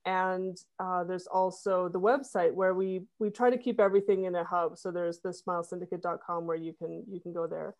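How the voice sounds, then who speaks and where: A woman's voice: lowish voice, steady tone, a little fast, few pauses, darkish tone. A woman guest on a podcast, explaining things casually and conversationally in a wordy, rambling way.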